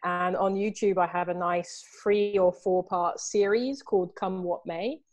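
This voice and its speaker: A woman in her thirties, chatting as a guest on a video call from a room at home. Her voice sits a little low for a woman, and she talks in a loose, animated way, leaning on "you know" and "like" as she goes. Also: measured pace. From Southeast London, England. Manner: animated, gestures a lot, many fillers like "you know". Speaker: artist guest. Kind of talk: casual interview over video call. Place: home room with books and artwork behind. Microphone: video call, earphones.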